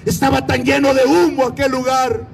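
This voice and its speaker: A man's voice: very high voice, flat delivery, moderate pace, gravelly, slight background noise. A man with a Latin American Spanish accent preaching an evangelistic sermon in a fervent, declamatory, exhorting manner.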